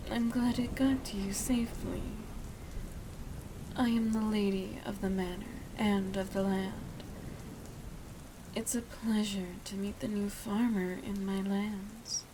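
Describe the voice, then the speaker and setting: A woman playing an elegant, seductive, motherly vampire lady of the manor in a softly half-whispered ASMR roleplay, genteel and coaxing. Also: medium pitch, fairly even intonation, takes it slowly, noisy background, natural voice. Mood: gracious, welcoming. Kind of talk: ASMR roleplay, soft breathy speech.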